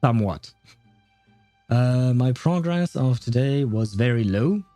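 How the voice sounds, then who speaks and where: A man's voice: mid-range voice, expressive, unhurried, mellow, no echo. A man livestreaming a game in casual chat, rambling and hesitant as he thinks aloud. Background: game music.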